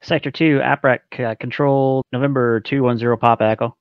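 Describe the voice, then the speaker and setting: Gravelly male air traffic controller, lo-fi. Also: medium pitch, steady tone, brisk, no room sound. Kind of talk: clipped radio phraseology. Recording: dull lo-fi radio and landline audio.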